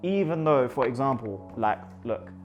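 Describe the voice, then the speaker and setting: A man's voice: medium pitch, expressive, normal speed, lo-fi sound, faint music behind. A man from Iraq with a London accent, a photographer talking enthusiastically about his pictures and often repeating words for emphasis.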